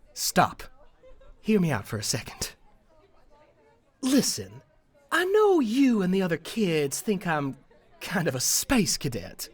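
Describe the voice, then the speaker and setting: A woman's voice: medium pitch, breathy edge, full character voice. A woman playing a cheerful, eccentric, earnest teacher in a comic fan dub, theatrical and coaxing. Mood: earnest pleading. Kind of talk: animated comic fandub acting.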